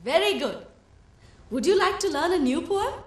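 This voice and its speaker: A woman, teaching children's rhymes slowly and with exaggerated expression. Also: extremely high pitch. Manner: slow, animated, exaggerated for children. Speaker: English teacher. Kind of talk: children's TV lesson, reciting rhymes. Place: indoor TV studio set. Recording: roomy studio, mic at a distance.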